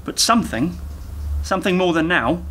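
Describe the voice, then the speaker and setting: An actor playing an eager, naive younger techie in a radio play, rambling and over-explaining earnestly. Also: male voice, high voice, expressive, moderate pace, fairly bright tone, a little hiss or hum. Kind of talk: community radio play dialogue.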